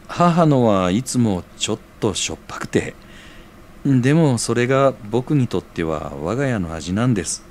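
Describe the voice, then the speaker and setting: An actor playing a modest, earnest young working man in an audio drama, speaking in a gentle, boyish manner. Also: fairly low pitch, slight background noise. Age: young adult.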